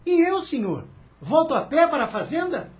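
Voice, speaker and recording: A woman's voice: high voice, sing-song, normal speed, darkish tone. An actress voicing a petulant teenage boy in a radio drama, whiny and sulky.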